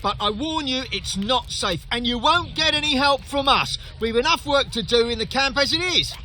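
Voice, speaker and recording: High, bright-voiced, wary camp guard. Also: male voice, expressive, moderate pace, slight background noise, exaggerated voice. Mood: weary, exasperated. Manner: blunt, exasperated. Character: weary, wary male refugee camp guard. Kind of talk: fantasy video game character acting.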